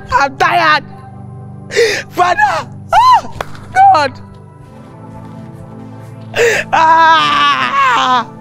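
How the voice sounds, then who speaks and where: A woman's voice: high voice, heavily acted. A woman playing a tearful pleading Nigerian mother. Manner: pleading, repeating herself. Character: distraught mother begging her silent daughter to eat. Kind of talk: comedy skit acting.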